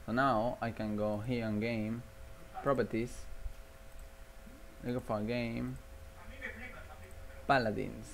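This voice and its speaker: A man's voice: medium pitch, level tone, takes it slowly, creaky, long pauses, slight background noise. A man hosting a livestream, chatting casually and thinking aloud in a rambling way. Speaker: livestream host. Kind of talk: casual game-stream chatter.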